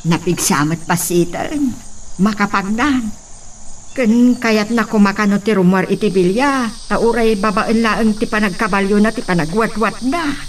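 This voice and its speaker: A woman's voice: mid-range voice, measured pace, distant mic, a little hiss or hum, natural voice. A woman playing an older, familiar and gossipy village woman in a radio drama. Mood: knowing, chatty. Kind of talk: Ilocano radio drama acting.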